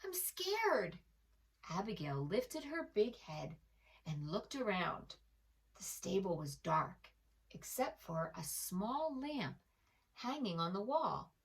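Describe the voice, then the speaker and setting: A woman storyteller reading aloud to preschoolers in an expressive way, pausing to address the young listener directly. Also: mid-range voice, creaky, recorded from a distance. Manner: slow, animated, addresses the young listener with questions. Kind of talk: expressive children's read-aloud.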